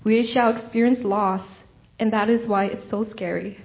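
A young woman reads her testimony and closing prayer in an earnest, measured, prayerful manner. The sound is dull and lo-fi. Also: mid-range voice, steady tone. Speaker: church member giving testimony. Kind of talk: read personal testimony and prayer.

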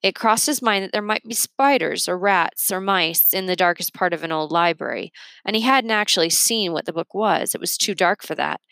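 A woman narrating a children's book aloud in an expressive read-aloud style. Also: fairly low pitch, moderate pace, gravelly, no room sound.

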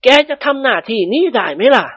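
A woman voicing a stern, easily riled older male nobleman who barks and shouts in bursts. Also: fairly high pitch, animated, fast talker, no echo, highs cut off, pitched above the performer's own voice. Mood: gruff. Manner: gruff barking, shouting in bursts.

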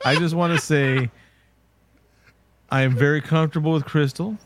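A man's voice: a little high, steady tone, normal speed. A man co-hosting a podcast, joking and often stammering on restarts. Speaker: podcast co-host. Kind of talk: casual podcast chat.

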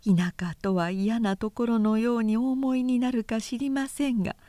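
A woman voicing a smooth-talking noblewoman who is persuasive and sweetly insistent, in a storybook reading. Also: on the high side, steady tone, moderate pace, mellow, no echo, voice pushed up, close to an everyday voice. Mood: coaxing. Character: coaxing, smooth-talking noblewoman urging a relative to travel.

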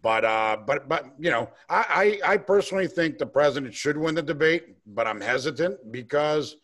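A man hosting a political podcast, with a loud, brash way of talking. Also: a little high, lively intonation, normal speed. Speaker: podcast host. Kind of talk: casual political podcast talk.

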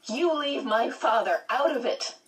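A woman's voice: on the high side, expressive, takes it slowly, distant mic, voice pushed up. A woman voicing a defiant, guarded teenage schoolgirl in an audiobook, with blunt, combative delivery. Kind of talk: audiobook character voice.

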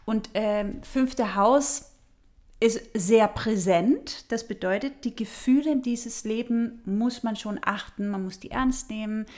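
A woman speaking German as a non-native with a foreign accent, giving an informal, unhurried explanatory talk with pauses and a playful manner. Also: on the high side, darkish tone. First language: not German. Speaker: astrology host.

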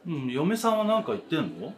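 A man's voice: on the high side, huge pitch swings, fairly quick, slight background noise. A man in his thirties playing a supportive, frank old friend in a radio drama, talking in a casual, encouraging way. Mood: concerned. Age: 30s. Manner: casual, plain-spoken, encouraging.

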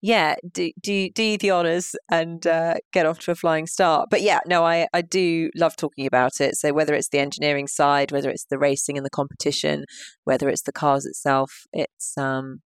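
Brief: London woman in her 30s, podcast co-host, smooth and lowish, dry close mic, easygoing and quick to laugh. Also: fairly even intonation, dry recording. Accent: London English. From London, England. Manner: chatty, laughs often. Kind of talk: casual podcast chat.

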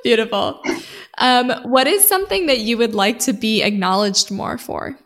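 Woman, podcast host, relaxed, rambling, casual swearing, interview chat. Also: fairly high pitch, normal speed.

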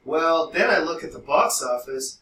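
A man with a bright, slightly high voice rambles casually as a podcast host, animated and quick to swear. He sounds away from the mic in a roomy, echoing space. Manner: animated storytelling, frequent swearing. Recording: roomy echo, distant mic, faint noise.

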